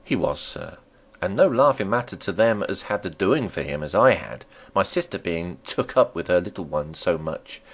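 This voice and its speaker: A man's voice: deep voice, animated, moderate pace. A man voicing a chatty, nosy, deferential country landlady in a storybook reading, with folksy rustic talk that rambles and fusses.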